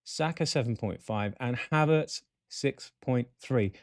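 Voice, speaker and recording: A man hosting a football fan show, reading out match stats and player ratings with chatty asides in a croaky voice. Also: mid-range voice, measured pace, dry recording, clean studio sound. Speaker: football podcast host. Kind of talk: casual fan-show commentary.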